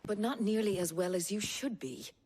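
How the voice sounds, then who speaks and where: An actress playing a stern but caring guardian in a fantasy game, formal and admonishing, with a husky voice. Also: female voice, mid-range voice, normal speed, darkish tone, close to an everyday voice. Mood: reproving concern. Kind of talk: dramatic video game cutscene acting.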